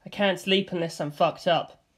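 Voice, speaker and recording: A brash male bullet creature who lives for getting wasted, read by a man. He tosses off crude lines bluntly in a fairly high voice. The delivery stays close to an everyday reading voice. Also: fairly even intonation, moderate pace, close to an everyday voice. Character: reckless male living bullet, hard-partying addict.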